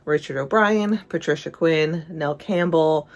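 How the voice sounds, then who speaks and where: A woman's voice: low voice, a little slow. A woman in her thirties from England gives casual, enthusiastic and opinionated film commentary as a video essayist.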